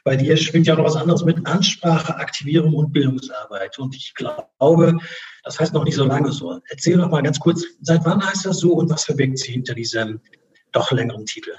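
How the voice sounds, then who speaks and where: A man's voice: on the high side, moderate pace, darkish tone. Upbeat man hosting, lo-fi sound. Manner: upbeat and inviting. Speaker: podcast host. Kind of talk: casual chat. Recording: dull lo-fi audio.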